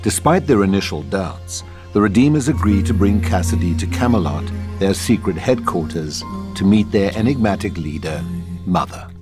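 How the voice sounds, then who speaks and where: A man's voice: sits low, animated, normal speed, over music. A man reading stage directions and scripted narration for an audio drama table read.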